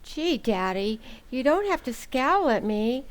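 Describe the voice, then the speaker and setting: A woman's voice: high voice, very animated, slow pace, full character voice. A woman playing a meek but protesting teenage daughter in old-time radio comedy style.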